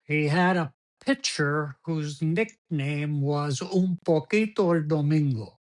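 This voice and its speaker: A man's voice: on the high side, takes it slowly. An older man whose first language is Spanish, telling rambling stories as a podcast guest, often repeating words and laughing as he goes. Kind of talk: storytelling interview.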